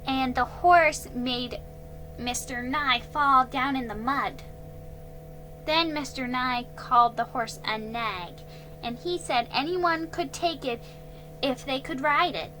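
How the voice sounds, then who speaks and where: A woman's voice: high voice, takes it slowly, sharp tone, soft background music, pitched above the performer's own voice, heavily acted, low-quality recording. A woman voicing a timid little girl who speaks anxiously and blurts out what happened. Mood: eager. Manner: anxious, tattling.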